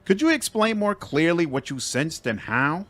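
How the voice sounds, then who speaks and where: Fantasy game adventurer, voiced by a man: pitched up, eager, direct, inquisitive. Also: very animated, moderate pace, fairly bright tone.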